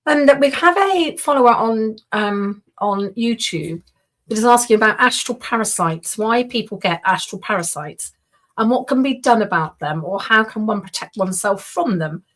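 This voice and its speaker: Hoarse woman co-hosting a Q&A. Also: medium pitch, lively intonation, moderate pace. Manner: warm, agreeable, often laughs and affirms. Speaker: co-host reading audience questions. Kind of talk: casual conversational Q&A.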